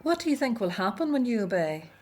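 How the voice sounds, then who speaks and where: A woman's voice: a little high, sing-song, fast talker. A woman acting in a radio drama as a caring, anxious, devout Hebrew elder sister, speaking earnestly and expressively. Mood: troubled, devout.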